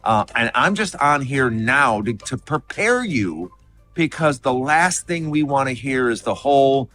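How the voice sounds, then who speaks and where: A man's voice: mid-range voice, lively intonation, measured pace, fairly bright tone, soft background music. A man giving a blunt, insistent political talk straight to the listener.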